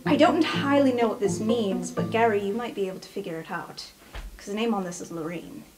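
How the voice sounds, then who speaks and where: Woman playing a confident student over loud music. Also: mid-range voice, fast, natural voice. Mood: solemn, assured. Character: confident, clever young female university student cracking codes. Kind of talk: improvised tabletop roleplay.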